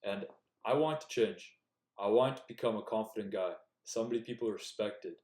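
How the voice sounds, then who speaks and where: A man's voice: fairly low pitch, fairly quick, darkish tone. A young man giving earnest, direct self-improvement advice in a casual talk to the camera. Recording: echoey room.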